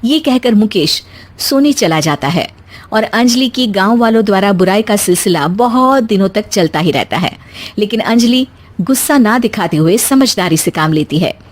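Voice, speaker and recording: A woman's voice: mid-range voice, expressive, normal speed. A woman storyteller reading scripted narration for a moral story.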